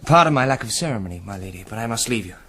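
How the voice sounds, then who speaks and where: Low-voiced brisk military commander. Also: male voice, lively intonation, bright, forceful tone. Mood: brisk, determined. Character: brisk, resolute commander of soldiers. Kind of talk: radio drama acting.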